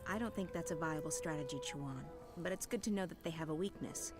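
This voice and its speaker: A woman voicing a level-headed, resolute rebel leader in a fantasy game, calm and decisive. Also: deep voice, brisk, over music, close to an everyday voice. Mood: calm, focused.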